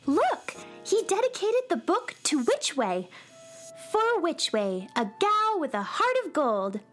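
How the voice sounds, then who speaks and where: A woman's voice: very high voice, very animated, slow, soft background music, full character voice. A woman playing a perky, sweet young space cowgirl in a children's radio drama, gushing with wide-eyed enthusiasm. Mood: excited.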